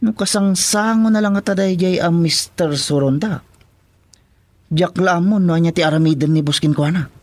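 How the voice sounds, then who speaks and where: A man's voice: sits high, normal speed, a little mellow, no echo, natural voice. An actor playing a teasing, wisecracking henchman sidekick in an Ilocano radio drama. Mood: wary.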